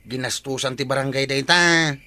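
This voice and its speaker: A man's voice: mid-range voice, fairly even intonation, moderate pace, pushed and bright. A man playing a skeptical, teasing village watchman in an Ilocano comedy radio drama, with an Ilocano accent. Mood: teasing, reproachful.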